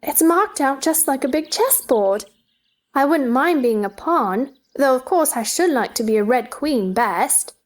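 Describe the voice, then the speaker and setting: A woman's voice: high voice, animated, a little slow. A woman playing a curious, polite young girl, speaking with earnest wonder in a theatrical storybook dramatization.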